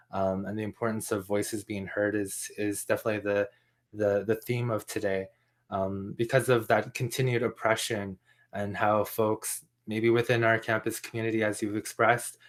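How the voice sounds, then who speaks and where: Enthusiastic young male host, lowish voice. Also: a bit bright. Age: young adult. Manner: enthusiastic, chatty, many fillers. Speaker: event host. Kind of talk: emceeing an open mic.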